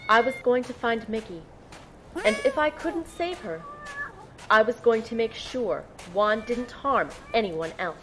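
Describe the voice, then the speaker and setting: A woman's voice: a little high, animated, a little slow, background noise. A woman playing an earnest, tender-hearted young heroine who tells her own story in an audio drama. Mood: somber, reflective. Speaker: narrator and lead character. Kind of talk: first-person audio drama narration and dialogue.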